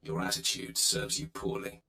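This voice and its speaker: An arrogant dark-side warrior from a sci-fi game. His deep voice rings with echo, forceful and curt, swinging into mockery and threat. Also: very animated, normal speed, gravelly, full character voice. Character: brutal, arrogant Sith warrior, curt and mocking. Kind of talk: video game voice acting. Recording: reverberant, echoing voice.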